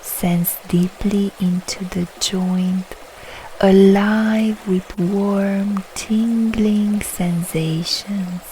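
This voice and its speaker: A woman guiding a yoga nidra relaxation meditation, speaking in soft, soothing, unhurried short phrases with long pauses. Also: mid-range voice, fairly even intonation, slight background noise, rough recording. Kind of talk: slow guided relaxation meditation.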